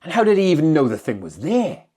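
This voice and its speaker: Guy doing a worn out, upset sheriff for an audiobook, pushy and emphatic, voice keeps jumping up high. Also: big pitch swings, fast talker, mellow, husky. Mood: agitated, bitter. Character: distraught, tired small-town sheriff, dogged and puzzled. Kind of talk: dramatic audiobook character reading.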